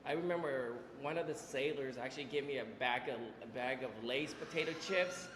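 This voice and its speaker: A man in his forties from Vietnam, telling his life story as an interview guest, halting with many fillers and restarts. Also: mid-range voice, background noise. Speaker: interview guest, business owner. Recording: echoey room with long reverb.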